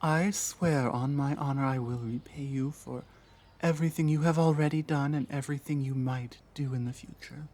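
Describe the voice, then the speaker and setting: A man playing an earnest, honorable orc fugitive in an ASMR roleplay, speaking in a hesitant, halting, humble way. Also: mid-range voice, steady tone, darkish tone, lightly acted. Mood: grateful, solemn. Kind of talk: ASMR roleplay audio drama.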